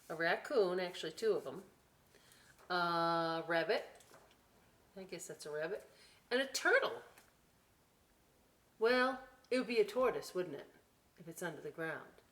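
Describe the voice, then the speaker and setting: Slow-paced woman reading a children's story. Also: on the low side. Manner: expressive, drawn-out reading with conversational commentary. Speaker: library story time reader. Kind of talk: read-aloud to children with chatty asides.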